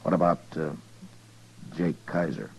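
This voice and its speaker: A man acting a calm, dogged frontier marshal in a 1950s radio western, speaking in a halting, understated, dry way. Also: rumbling low, creaky, husky, exaggerated voice. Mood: hesitant, probing. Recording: noisy vintage radio drama recording.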